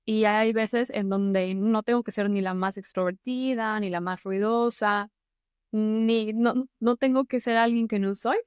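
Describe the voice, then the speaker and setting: A woman's voice: medium pitch, fairly even intonation, measured pace, clean tone, no echo, studio quality. Earnest Mexican woman giving advice. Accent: Mexican Spanish. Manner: earnest, encouraging, conversational. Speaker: YouTube host and public-speaking mentor. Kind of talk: motivational advice to camera.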